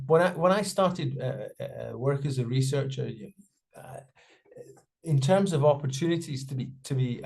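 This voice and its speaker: A man giving a spoken interpretation of a panel discussion over a video call. He speaks in a halting way, with frequent fillers and restarts. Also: a little high, a little mellow. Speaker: sign language interpreter voicing deaf panellists.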